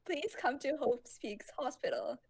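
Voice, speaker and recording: A woman playing a polite, calm hospital caller who speaks formally and reassuringly in a fan fiction roleplay. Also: sits high, unhurried, gravelly, breathy edge, exaggerated voice.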